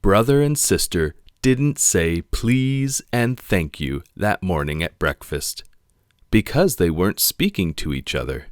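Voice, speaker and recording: A man reading a children's picture book aloud in an expressive narrating style. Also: low-pitched, measured pace, dry recording, clean studio sound. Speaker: storybook reader.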